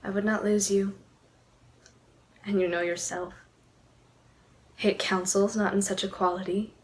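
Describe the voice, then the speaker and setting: A woman's voice: medium pitch, little pitch movement, unhurried, slight background noise, lightly acted, rough recording. An actress plays a young noblewoman, lovestruck and anxiously torn, speaking Shakespearean verse on stage. Her mid-range voice is theatrical and hesitant in its phrasing. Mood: tender, pleading.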